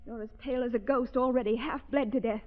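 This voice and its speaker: A woman's voice: fairly high pitch, steady tone, quick pace, close to an everyday voice. A woman playing a bold, urgent young investigator in a 1930s radio drama, emphatic and dramatic. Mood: accusing. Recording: muffled vintage 1930s radio recording.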